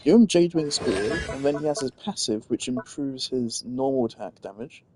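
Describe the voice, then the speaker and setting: A man's voice: medium pitch, lively intonation, moderate pace, warm tone, a little hiss or hum. A man giving casual, rambling gaming commentary, thinking aloud as a streamer. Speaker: game streamer.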